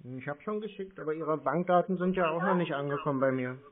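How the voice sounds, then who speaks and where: A man's voice: fairly high pitch, a crowd in the background. Man on a tinny phone line. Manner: plays a slow, naive caller, then turns blunt and mocking. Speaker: scam baiter. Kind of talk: recorded prank phone call.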